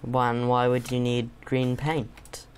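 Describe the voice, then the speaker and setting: A young man with a slightly croaky sore throat, chatting and teasing his father while they play a game together, often pausing with ums. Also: medium pitch, completely flat delivery, slight background noise. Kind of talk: casual gaming chat.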